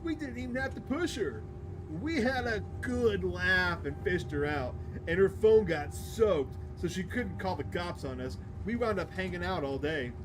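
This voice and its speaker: Guy doing a cocky rambling young prankster dude for a visual novel, highish voice, music behind. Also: lively intonation, a little slow, rough recording. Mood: amused, bragging. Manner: rambling, boastful, oblivious. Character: chatty, careless young male prankster bus passenger. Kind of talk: visual novel character read aloud.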